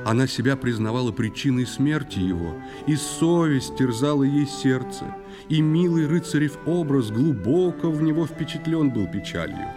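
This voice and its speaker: Expressive man narrating over music. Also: mid-range voice. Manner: expressive, unhurried storytelling. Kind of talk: dramatic verse narration for a children's audio play.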